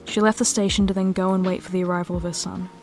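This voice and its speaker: A woman's voice: lowish voice, flat delivery, fast talker, a little mellow, close to an everyday voice. A woman narrating a scripted story as the narrator of an audio drama. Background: faint music.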